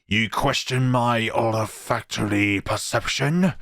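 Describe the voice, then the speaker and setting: A man's voice: mid-range voice, slow, creaky, fairly bright tone, no echo, studio quality. A man voicing a haughty, threatening rabbit who leads a battalion in a horror visual novel. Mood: pompous, scornful. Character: menacing, pompous lead rabbit commanding a battalion.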